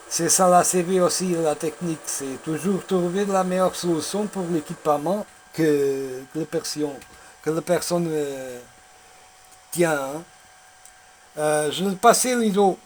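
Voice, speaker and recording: A man with a Portuguese accent, not speaking his native language, chatting as a radio operator in a halting way, searching for words. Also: a little high, a little hiss or hum. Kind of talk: radio contact chat, technical talk.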